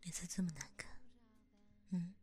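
A woman in a whispered ASMR roleplay, gently coaxing a younger boy as his hairdresser, in a soft big-sister tone, close to the microphone. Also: fairly low pitch, a little slow, a little hiss or hum.

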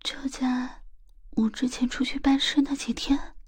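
A woman playing a sly, teasing young stepmother in a whispered, breathy audio roleplay, coaxing and drawn-out. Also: high voice, steady tone, heavily acted. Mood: sly, probing.

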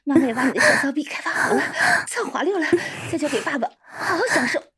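An actress playing a dominant, excitable wife in an audio drama, exclaiming with drawn-out interjections. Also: female voice, high-pitched. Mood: excited. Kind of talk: erotic audio drama for adults.

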